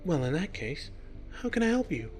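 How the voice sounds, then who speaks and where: A man's voice: on the high side, big pitch swings, moderate pace, darkish tone, soft background music, voice pushed up. A man voicing a friendly, curious young bookish woman in a story reading, given to puzzled questions. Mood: eager, curious. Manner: thinks aloud in puzzled questions.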